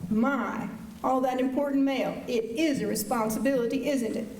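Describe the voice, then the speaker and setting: Woman as wide-eyed young western shop girl, high voice, coy and gushing, echoey auditorium. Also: moderate pace, a little mellow, natural voice. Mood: coy, wheedling. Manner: gushing, coy, breathy exclamations. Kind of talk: western radio drama acting. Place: high school auditorium stage. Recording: echoey auditorium with faint hiss.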